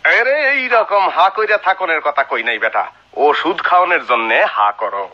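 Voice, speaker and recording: A man's voice: fairly high pitch, animated, moderate pace, pushed and bright. A man playing a bossy, mocking compounder in a radio drama, scolding and teasing in an eastern Bangladeshi rural dialect. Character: bossy, mocking village-clinic compounder, a man who bullies patients. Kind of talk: Bengali radio drama acting. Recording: dull lo-fi old radio recording.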